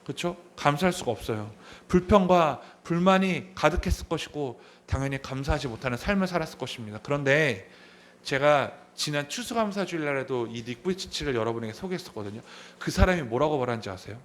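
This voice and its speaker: A pastor in his thirties preaches from the pulpit in a voice that sits a little high for a man. He often asks the people in front of him questions and says his main points more than once. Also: expressive, fairly quick, slight background noise. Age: 30s. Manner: asks the congregation questions, repeats key phrases. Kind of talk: sermon. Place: church sanctuary.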